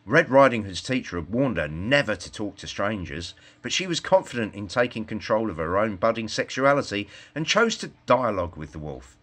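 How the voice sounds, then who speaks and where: A man reading a scripted satirical fairytale as storyteller, in an expressive storybook manner. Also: lowish voice, brisk, hardly any pauses.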